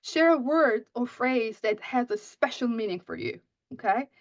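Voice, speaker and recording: A woman's voice: fairly high pitch, animated, measured pace, no room sound. A woman whose first language is Persian, giving a conference talk on teaching writing, often asking "right?" and restarting her sentences. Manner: frequent "right?" checks, many restarts. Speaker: writing instructor. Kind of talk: conference presentation. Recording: muffled dry audio.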